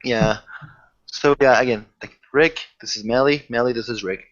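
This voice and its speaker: Friendly, protective guy with halting speech, man roleplaying. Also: mid-range voice, muffled recording, pitched below the performer's own voice, close to an everyday voice. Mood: relaxed. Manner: halting, repetitive, familiar.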